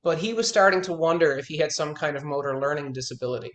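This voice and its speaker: A man's voice: a little high, fast talker, a bit bright. Emphatic man in his thirties, roomy sound. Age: 30s. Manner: emphatic, repeats words as he restarts, frank. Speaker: guitar teacher. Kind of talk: one-on-one lesson consultation.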